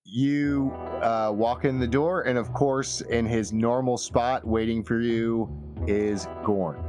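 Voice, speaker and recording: A man narrating a tabletop game as game master, with halting, trailing phrases. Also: mid-range voice, fairly even intonation. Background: soft guitar music.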